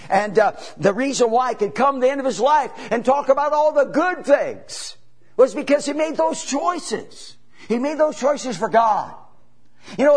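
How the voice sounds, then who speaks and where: A man in his seventies preaching a sermon as a traveling evangelist, folksy and repetitive, building to emphatic bursts. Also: very high-pitched. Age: 70s. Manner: preaching with rising emphatic bursts and drawn-out pauses; folksy, repetitive, peppered with "you know" and "amen".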